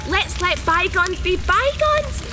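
A woman's voice: extremely high pitch, lively intonation, slow pace, loud music behind the voice, full character voice. An actress playing a cruel, gleefully taunting young woman turned killer in a horror radio play. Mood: manic. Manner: mocking, menacing sing-song taunts.

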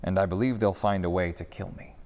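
A man voicing Jesus, a calm, patient male teacher who speaks in measured phrases, in a storybook reading. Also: deep voice, big-room sound. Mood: grave.

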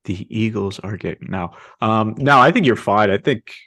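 A young man hosting a casual sports podcast, talking eagerly and telling stories with excitement. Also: on the low side, moderate pace, no room sound, clean studio sound. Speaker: podcast host.

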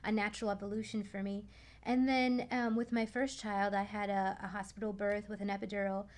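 A woman's voice: mid-range voice, little pitch movement, brisk, crowd noise. A young adult woman explaining things conversationally as a guest expert in a radio interview, often repeating words as she goes. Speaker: guest expert, massage therapist.

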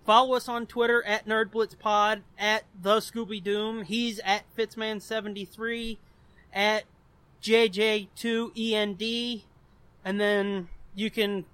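A man with a high, bright voice talks as a podcast co-host in a close, dry recording. His delivery is casual and unpolished, with plenty of swearing. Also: clear, resonant tone, dry recording. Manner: informal, swears often.

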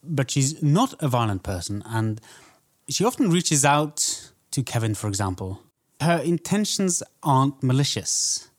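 A man's voice: mid-range voice, very animated, unhurried. A man co-hosting a film podcast, talking in a thoughtful, conversational way in a casual discussion.

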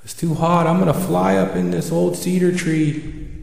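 Man voicing a high, plaintive owl in echo. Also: steady tone, moderate pace, vocal fry, a little mellow. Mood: complaining. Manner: plaintive, exclaiming. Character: frightened, proud male owl, complaining and pleading. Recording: very echoey hall, long reverb.

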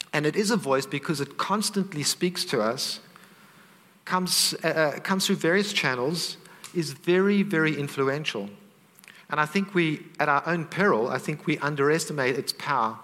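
A man's voice: on the high side, pushed and bright, long reverb tail. A man preaching a sermon, earnest and conversational, with asides to the congregation.

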